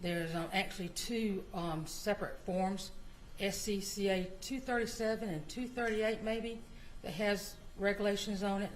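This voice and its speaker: A woman's voice: medium pitch, steady tone, moderate pace, a little hiss or hum, rough recording. A woman testifying as a court clerk, answering politely and matter-of-factly. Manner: polite, answers "yes, sir", matter-of-fact. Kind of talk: witness testimony. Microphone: courtroom microphone.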